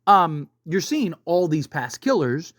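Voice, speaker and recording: A man's voice: fairly high pitch, sing-song, slow pace, fairly bright tone, no room sound, clean studio sound. A man giving casual, unscripted movie commentary as a video host, rambling and often checking in with the listener by tagging his sentences with a question.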